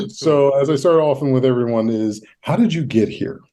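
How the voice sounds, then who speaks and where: Guy hosting a podcast interview, mellow relaxed voice, trips over words when reading stuff out. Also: mid-range voice, unhurried. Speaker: podcast host. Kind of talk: introducing and interviewing a guest.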